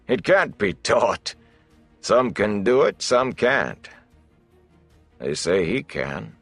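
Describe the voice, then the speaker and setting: Man doing a low, gravelly backwoods loner. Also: sing-song, slow, no echo, faint music behind, full character voice. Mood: blunt, suspicious. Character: gruff, wry older wilderness man who runs with wolves.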